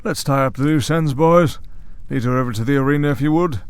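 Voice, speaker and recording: A man's voice: medium pitch, fairly quick, clear, resonant tone, no echo, lightly acted. A man playing a suave villain mastermind in an audio roleplay, taunting with courteous, theatrical menace.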